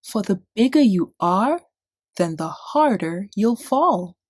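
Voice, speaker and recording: A woman's voice: mid-range voice, sing-song, slow, slightly rough, no echo, studio quality. A woman storyteller reading a rhyming children's book aloud expressively.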